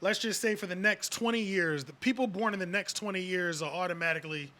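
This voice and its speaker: Young male guest with a high, bright voice; eager agreement, lively casual chat. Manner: agreeable, quick back-channel affirmations, lively. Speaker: podcast guest.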